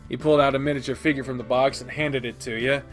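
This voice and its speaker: A man's voice: medium pitch, fairly even intonation, fast talker, soft background music. A man reading a visual novel aloud, narrating in plain second person. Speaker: let's play reader.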